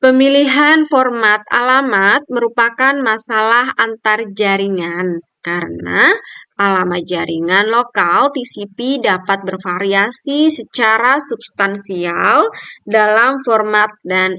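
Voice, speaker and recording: Slow, careful woman presenting. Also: medium pitch, pushed and bright, smooth, dry recording. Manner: careful, reading point by point. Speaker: student presenter. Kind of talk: scripted article review presentation.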